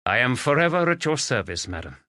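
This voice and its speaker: A man playing a composed, gallant English gentleman detective, firm, measured and courteous. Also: mid-range voice, very animated, no echo, clean studio sound, voice pushed up. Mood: formal. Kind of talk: audiobook character voice.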